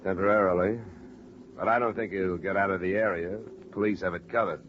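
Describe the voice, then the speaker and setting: Male actor as tough, wry American investigator, low clipped voice, hard-boiled 1950s radio drama, dull vintage recording. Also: steady tone, fairly quick, background noise, lightly acted. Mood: terse, firm. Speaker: lead actor and narrator.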